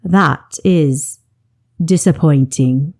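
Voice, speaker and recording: A woman voicing a calm, shrewd male ship captain who gives measured, authoritative orders in an audiobook. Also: sits low, creaky, exaggerated voice. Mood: surprised, disappointed. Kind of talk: audiobook character voice.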